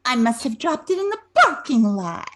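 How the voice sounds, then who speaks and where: A woman's voice: high voice, sing-song, moderate pace, a bit bright, exaggerated voice. A woman playing a vain, scatterbrained beauty queen in a radio comedy play, gushing and flighty. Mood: boastful, flustered.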